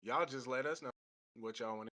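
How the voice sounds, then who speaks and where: A man's voice: medium pitch, fairly quick, bright, forceful tone. A man co-hosting a casual podcast, easygoing and slangy. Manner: easygoing, slangy, short interjections. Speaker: podcast co-host.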